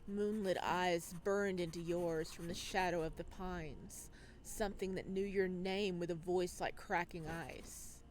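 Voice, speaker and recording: Lady reading a visual novel out loud, slow and dramatic, chatty asides, slight noise behind. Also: on the low side, steady tone, rough recording. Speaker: video game playthrough host. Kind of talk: dramatic read-aloud narration with casual commentary.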